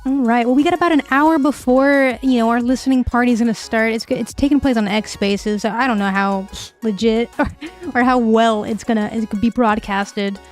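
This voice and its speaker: A woman's voice: a little high, steady tone, moderate pace, soft background music. Loose, swearing livestream host, woman. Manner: loose, profane, laughing. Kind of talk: casual chat.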